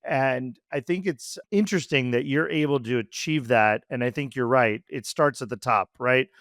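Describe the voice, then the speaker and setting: A man's voice: mid-range voice, moderate pace, fairly bright tone, no echo, clean studio sound. A man hosting an interview podcast, speaking conversationally as he comments and puts questions to his guest.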